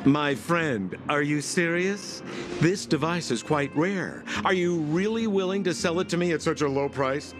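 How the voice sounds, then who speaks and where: A man playing a slippery, cowardly young dealer in a video game, smooth-talking and placating. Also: a little high, unhurried, music in the background, close to an everyday voice. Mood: smooth, probing. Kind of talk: video game character acting.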